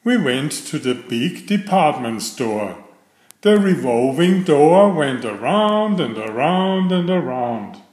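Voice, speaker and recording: A middle-aged man reading aloud to young children in a simple storytelling style, with clearly phrased delivery. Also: on the high side, very animated, slow, a little mellow. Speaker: children's book reader. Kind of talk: read-aloud bedtime storytelling. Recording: roomy, echoey voice recording.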